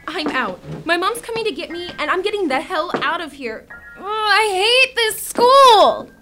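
A woman's voice: very high-pitched, very animated, unhurried, sharp tone, faint music behind, full character voice. A young actress playing an exasperated, defiant teenage schoolgirl in a zombie radio drama, blunt and dramatic. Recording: clearly noisy background.

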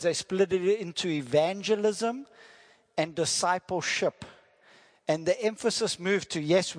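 High-voiced South African preacher in echoey hall. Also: male voice. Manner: friendly, jokey, asks the congregation questions. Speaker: visiting missionary preacher. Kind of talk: sermon with prayer. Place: church hall. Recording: church hall reverb.

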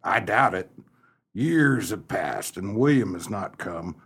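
An actor playing a gloomy, stern old farmer in a comic radio melodrama, husky and gravelly, with theatrical, florid delivery. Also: male voice, lowish voice, lively intonation, slow, a bit bright, full character voice. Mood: bitter, gloomy.

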